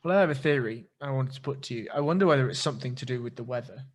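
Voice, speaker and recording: A man's voice: medium pitch, racing. A man hosts a casual podcast in a mid-range voice. He talks animatedly, swears freely, and leans into his questions and theories. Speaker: podcast host. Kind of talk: casual interview chat.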